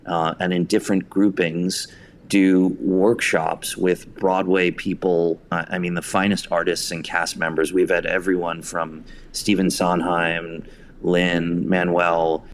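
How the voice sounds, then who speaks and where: A man with a low, deep voice speaks as an interview guest. He talks in long, flowing, reflective answers with an earnest manner. There is some noise in the recording behind him. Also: little pitch movement, measured pace. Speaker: interview guest, theater director and writer. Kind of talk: conversational interview storytelling.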